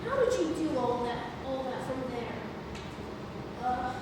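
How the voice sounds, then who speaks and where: A woman's voice: high voice, big pitch swings, measured pace. A woman playing a curious, eager young grandson who asks wide-eyed questions in a stage play read-through. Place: indoor theatre, recorded from afar. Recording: echoing hall, distant mic, noisy.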